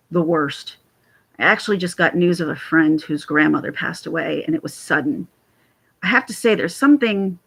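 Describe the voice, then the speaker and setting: A woman with a raspy voice chatting casually to camera on her vlog, rambling and laughing often. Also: on the low side, fairly bright tone. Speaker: YouTube commentator.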